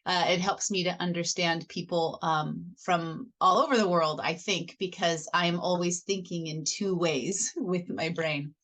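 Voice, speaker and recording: An American woman explaining her work in measured phrases as a panelist on an online call. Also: on the low side, steady tone, a bit bright. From United States. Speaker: panelist, head of education. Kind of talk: self-introduction on a panel.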